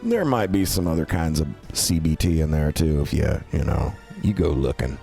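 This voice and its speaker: A man giving casual, unscripted commentary as a streamer, halting often and repeating or correcting words as they go. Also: rumbling low, expressive, gravelly, warm tone, soft background music. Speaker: streamer reviewing visual novels.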